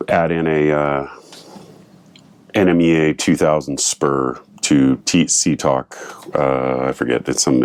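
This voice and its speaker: A man's voice: rumbling low, a little mellow, a little hiss or hum. An American man in his fifties explaining a hands-on job in a casual vlog style, informally thinking aloud, recorded on a clip-on mic in a small boat cabin. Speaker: boat owner presenting a DIY installation. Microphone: clip-on lavalier on the chest.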